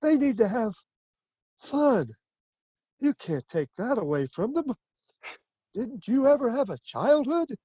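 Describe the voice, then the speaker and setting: A woman's voice: a little high, sing-song, slow pace, long pauses, dark tone, husky, dry recording, highs cut off, heavily acted. A woman playing an earnest shoemaker hero who pleads with the villain in a community stage play. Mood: pleading.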